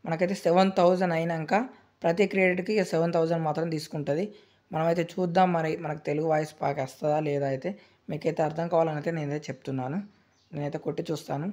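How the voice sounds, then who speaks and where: A man hosting a gaming channel, explaining a game tutorial in a rapid, repetitive, filler-heavy way. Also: fairly high pitch, flat delivery, darkish tone. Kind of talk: screen-recorded game tutorial.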